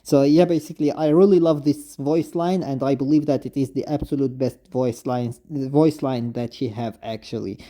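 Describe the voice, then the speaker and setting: A man who is not a native English speaker gives unscripted, gushing video game fan commentary, halting and often repeating himself. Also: medium pitch, steady tone, dark tone. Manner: effusive, halting, repetitive. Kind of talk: unscripted fan commentary, reading lines aloud.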